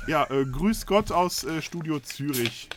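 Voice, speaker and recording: A man's voice: fairly high pitch, expressive, unhurried, a little hiss or hum. A man co-hosting a casual chat, hesitant and stammering but teasing. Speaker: podcast co-host.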